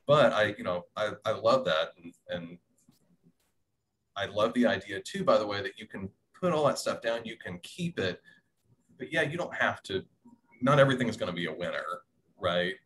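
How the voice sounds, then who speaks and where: A man in his thirties chatting casually on a video call, halting and repeating himself. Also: lowish voice, animated, fairly bright tone. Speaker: panelist, audio drama writer. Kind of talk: casual chat. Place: small room, indoors, on a video call. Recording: noisy video call with faint room noise.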